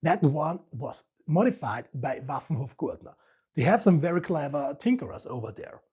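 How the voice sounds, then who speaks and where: A man's voice: a little high, animated, moderate pace, a little mellow, breathy edge. A man with an Austrian accent hosting a joking product review in a playful, self-mocking manner. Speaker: host of a firearms review channel.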